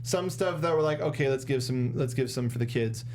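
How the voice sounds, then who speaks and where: A man's voice: medium pitch, brisk, noisy background. A young adult man with an American accent, chatting casually as co-host of a review show at a studio microphone. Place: small studio, indoors at a desk. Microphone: close studio microphone with foam windscreen, headphones.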